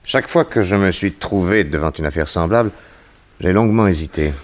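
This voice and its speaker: An actor playing a composed, shrewd private detective in a 1960s radio serial, measured and authoritative. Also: male voice, low-pitched, lightly acted. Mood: grave, measured.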